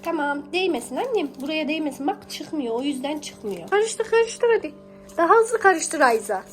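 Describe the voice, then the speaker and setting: A young mother with a high-pitched voice chats casually to her small child. She coaxes and praises with affectionate pet names, often repeating her phrases. Soft music plays underneath her voice. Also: sing-song, moderate pace. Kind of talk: casual coaxing talk to a small child while baking.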